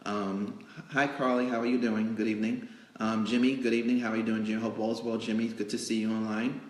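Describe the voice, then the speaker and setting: A man with a fairly low voice hosts an improvised live show from a roomy, echoing indoor space. He talks casually and haltingly, rambling along to fill time. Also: level tone. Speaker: magazine editor hosting a live interview show. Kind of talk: casual improvised livestream hosting. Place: indoors in a cake studio.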